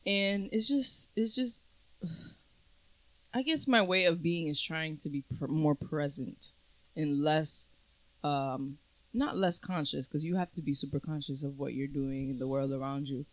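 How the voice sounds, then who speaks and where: A woman's voice: deep voice, unhurried, low-quality recording. A woman in her twenties on a casual podcast, talking in a reflective, earnest way and drawing out her phrases. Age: 20s. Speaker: podcast co-host. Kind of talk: casual chat.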